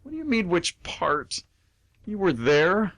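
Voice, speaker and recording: Man acting male comedy character, exasperated, defensive, humiliated, very animated pitch, radio play. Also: measured pace, dry recording, full character voice. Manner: exasperated, indignant, protesting. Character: humiliated, defensive man who works as banana mascot.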